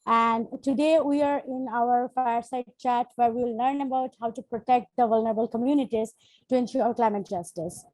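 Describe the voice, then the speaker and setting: A woman's voice: fairly high pitch, steady tone, normal speed, a little mellow, no echo, low-quality recording. A woman from Bangladesh moderating a panel, making polite, formal introductions.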